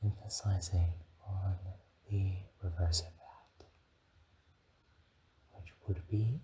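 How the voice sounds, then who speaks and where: Casting: man as gentle dragon mentor for ASMR roleplay, low and very mellow, soft murmurs slipping into whispers. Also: steady tone, slight background noise, poor audio. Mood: calm, explaining. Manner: soft-spoken, often whispering, slow and soothing, halting phrases. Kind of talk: ASMR guided meditation roleplay.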